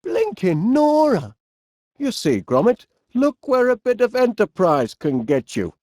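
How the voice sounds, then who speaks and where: A cheerful, middle-aged Northern English inventor, bumbling and polite. His delivery is slow and very swoopy, with quaint exclamations and flustered hesitations. It is a comedy game character, recorded close and dry. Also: extremely high pitch, wildly swooping pitch, darkish tone, no echo, heavily acted. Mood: cheerful, eager. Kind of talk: animated comedy game voice acting.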